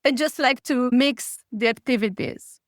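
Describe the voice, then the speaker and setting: A woman's voice: high voice, unhurried, no room sound, studio quality. A woman, speaking as a guest in a conversational interview. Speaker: podcast guest, psychotherapist.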